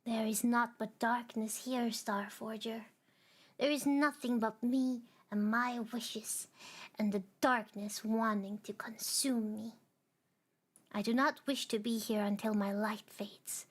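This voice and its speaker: A woman's voice: a little high, steady tone, takes it slowly. A woman playing a defiant little star. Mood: pleading. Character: a small, lonely, defiant star, young and headstrong.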